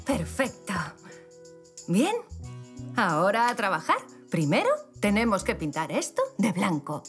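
A woman voicing an enthusiastic, artistic grown-up female zebra in a children's cartoon, gushing and animated. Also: mid-range voice, a little slow, faint music behind. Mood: busy, cheerful. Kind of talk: children's animated series dub.

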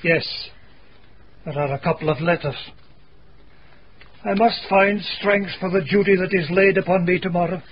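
An actor playing a grave, stern Scottish minister in a radio mystery, speaking solemnly and deliberately. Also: male voice, high voice, steady tone, close to an everyday voice. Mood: anguished resolve. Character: grave elderly Scottish minister, self-deprecating and morally stern. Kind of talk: dramatic radio acting. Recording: dull, faintly noisy radio recording.

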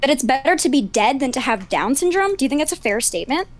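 A woman's voice: high voice, very animated, fast talker. A nineteen-year-old woman in a heated debate, pressing pointed questions again and again. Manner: insistent, repeating pointed questions. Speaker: debate guest.

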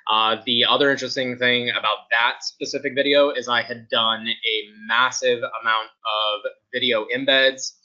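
A man giving a casual webinar testimonial as a guest, his voice starting to go and needing frequent throat clearing. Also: mid-range voice, fairly even intonation, normal speed, hardly any pauses, bright, forceful tone. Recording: dull, lo-fi webinar audio.

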